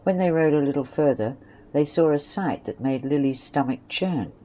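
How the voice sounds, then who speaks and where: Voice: medium pitch, moderate pace, a little mellow. A narrator reading scripted third-person story passages for an amateur western audio drama.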